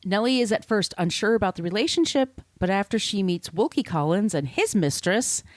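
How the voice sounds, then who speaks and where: A woman's voice: medium pitch, expressive, a little fast, no echo. A woman hosting a podcast, telling literary history conversationally in an informal, explanatory way with asides. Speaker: podcast host.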